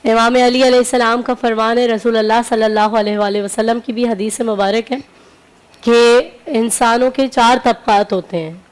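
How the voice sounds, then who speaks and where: High-voiced didactic woman religious scholar. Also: fairly even intonation, normal speed. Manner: didactic, explaining in numbered points with repetition. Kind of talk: religious teaching on a talk show. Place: TV broadcast studio.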